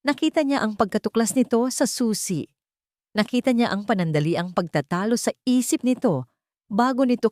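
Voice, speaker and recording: A woman's voice: mid-range voice, a little mellow, dry recording. Dry-voiced woman narrating drama. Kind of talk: scripted dramatic story narration.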